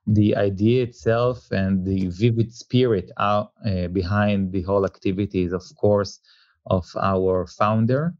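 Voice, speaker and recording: A man's voice: sits low, darkish tone, dry recording. Earnest man, dull dry sound. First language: not German; father is German. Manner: earnest, repeats words, searches for phrasing. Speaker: insurance company executive guest. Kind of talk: interview answers mixing English and German. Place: office in Hamburg.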